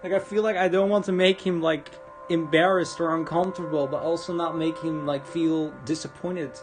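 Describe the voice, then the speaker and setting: A man's voice: a little high, steady tone, normal speed. A man livestreaming a visual novel, reading narration aloud informally with stumbles and casual commentary. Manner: informal, stumbling over read text. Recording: game music clearly behind voice. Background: game music.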